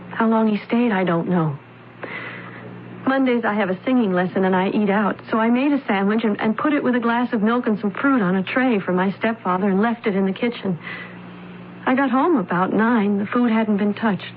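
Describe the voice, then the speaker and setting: An actress playing a composed young daughter, matter-of-fact and measured, in a radio mystery drama. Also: female voice, medium pitch, close to an everyday voice. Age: young adult. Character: young adopted daughter, composed, recounting a death. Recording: noisy, tinny old radio broadcast.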